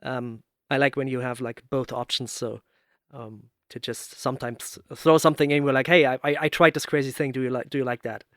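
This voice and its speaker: A man's voice: mid-range voice, fairly even intonation, a little fast, dry recording, clean studio sound. A man hosting a casual interview, chatting in an informal, agreeable and talkative way. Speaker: podcast host and sound professional.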